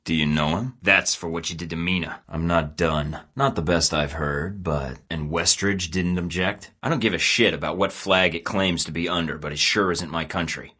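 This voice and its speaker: Game spy, very deep voice, terse, sardonic, threatening. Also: male voice, steady tone, moderate pace, hardly any pauses, pushed and bright. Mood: cold, defiant. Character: hardened male spy in his thirties, defiant. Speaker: game protagonist, secret agent. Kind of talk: video game voice acting.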